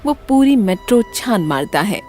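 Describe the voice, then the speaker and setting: A woman narrating a scripted horror story in a dramatic, deliberate storytelling manner. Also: mid-range voice, big pitch swings, a little mellow, soft background music.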